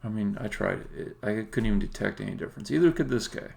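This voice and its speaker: Deep, gravelly-voiced man thinking aloud. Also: expressive, fast, darkish tone. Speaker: game developer livestreaming. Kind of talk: casual live-coding commentary, thinking aloud.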